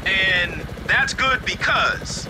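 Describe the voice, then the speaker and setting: A man's voice: sits high, lively intonation, drawn-out words, full character voice. An actor playing a streetwise male soldier who talks in a casual, wisecracking way. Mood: wry. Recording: helicopter and gunfire noise.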